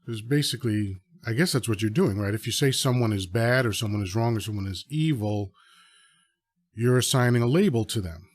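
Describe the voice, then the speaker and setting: A man's voice: lowish voice, brisk, dry recording. A man of fifty-nine from the Dominican Republic, a podcast host musing conversationally in a reflective solo talk, often checking in with "right?".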